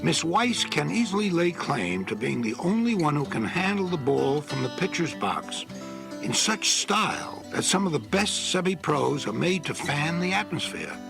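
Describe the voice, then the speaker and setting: Actor as gravelly, florid old-time newspaper writer. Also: male voice, a little high, big pitch swings, normal speed. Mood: florid admiration. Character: 1900s newspaper writer quoted aloud, florid and admiring. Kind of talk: dramatic reading of an old newspaper quote in a documentary. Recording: guitar music behind.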